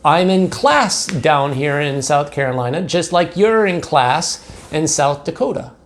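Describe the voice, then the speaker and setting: A man's voice: on the high side, animated, a little slow. An American man, a pastor, talking simply and directly to young children and narrating a picture book. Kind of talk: children's storybook read-aloud.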